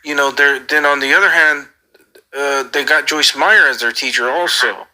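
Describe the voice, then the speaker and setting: A man's voice: on the high side, fairly even intonation, moderate pace, sharp tone, poor audio. A man on a casual call, asking earnest questions in a hesitant way and often repeating his phrases. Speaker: caller.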